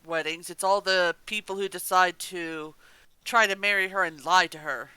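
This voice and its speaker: A woman with a bright, clear voice that swings in pitch. She chats casually and gives her opinions, close and dry on the mic. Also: fairly even intonation, moderate pace, no echo, rough recording. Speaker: podcast co-host.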